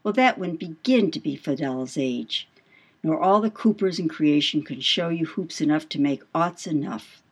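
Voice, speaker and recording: A woman's voice: low voice, animated, normal speed, breathy edge, full character voice. A woman playing a brash, boastful male sailor in an audiobook, with salty, swaggering speech and emphatic questions. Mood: scornful, insistent. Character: brash, boastful male whaling mate telling devil yarns. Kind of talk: dramatised audiobook dialogue.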